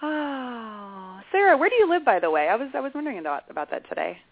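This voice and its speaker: A woman's voice: on the high side, sing-song, quick pace. A woman hosting a health radio talk show, chatting loosely with many fillers and restarts. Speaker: radio show host, clinician. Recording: tinny phone-like sound.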